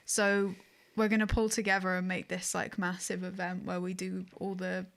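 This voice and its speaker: A woman's voice: lowish voice, completely flat delivery, moderate pace, creaky. A woman co-hosting a podcast, chatting casually about video games. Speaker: podcast co-host.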